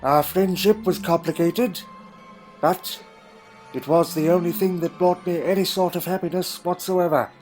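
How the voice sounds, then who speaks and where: Male actor as a troubled, proud Time Lord for audio drama; slightly high voice, old-fashioned wording, melancholy and guarded, over faint music. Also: fairly even intonation, normal speed, a little mellow, lightly acted, low-quality recording. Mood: brooding, melancholy.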